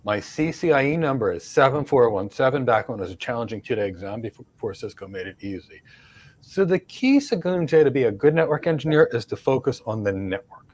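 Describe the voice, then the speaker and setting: A man's voice: medium pitch, very animated, brisk, darkish tone. A middle-aged man with a Philadelphia accent, hosting a live career Q&A and stressing points by repeating words. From United States. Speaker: host, career mentor. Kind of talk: live Q&A, answering career questions.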